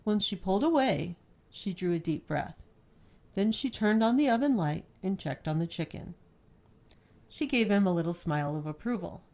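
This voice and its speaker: A woman's voice: mid-range voice, expressive, moderate pace, darkish tone. A woman storyteller reading scripted fiction narration aloud.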